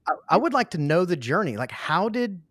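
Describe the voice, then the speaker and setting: A man's voice: sits high, big pitch swings, a little fast, fairly bright tone, no room sound, studio quality. A middle-aged man hosting a podcast, upbeat and enthusiastic, telling anecdotes and repeating phrases for emphasis. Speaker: podcast host. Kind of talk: casual interview chat.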